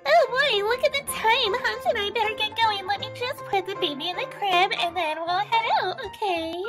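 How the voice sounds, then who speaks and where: A woman's voice: very high voice, moderate pace, over music. A woman voicing a bubbly, ditzy young mother doll in a toy play.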